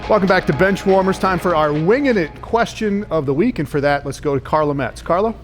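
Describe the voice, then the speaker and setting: A man with a slightly high voice hosts a sports talk show with clear music behind him. He talks conversationally and keeps putting chatty follow-up questions to the panel. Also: animated, a little fast. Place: on location in a restaurant.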